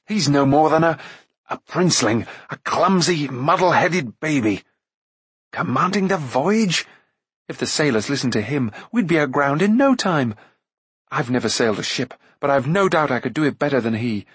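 Brief: man playing a sulky, easily nettled young lad, grumbling indignation, husky, dry. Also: fairly high pitch, animated, normal speed, fairly bright tone, dry recording, heavily acted. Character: young assistant pig keeper, proud and easily nettled.

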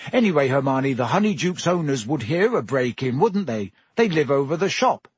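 Man doing a blunt teenage schoolboy, slightly husky. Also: mid-range voice, very animated, moderate pace, no echo. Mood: quietly reasoning. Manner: blunt, colloquial. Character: excitable teenage schoolboy, blunt, easily outraged, cheeky.

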